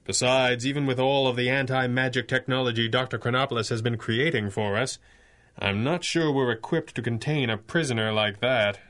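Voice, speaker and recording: An actor playing a stern, analytical masked superhero, speaking gravely in the style of a 1930s radio serial. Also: male voice, medium pitch, steady tone, fairly quick, fairly bright tone, natural voice. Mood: grave, pondering. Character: stern, commanding masked superhero crime-fighter, calmly analytical.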